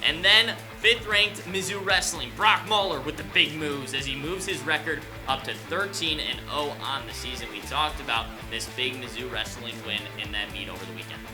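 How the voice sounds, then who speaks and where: A man's voice: a little high, normal speed, few pauses, music in the background, rough recording. A young man delivering sports news and live play-by-play commentary as an anchor and commentator. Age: young adult.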